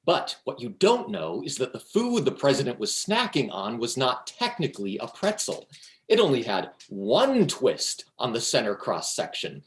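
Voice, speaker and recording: A man playing a crass, vain pretzel guy in a comedic play reading, brash and bossy. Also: medium pitch.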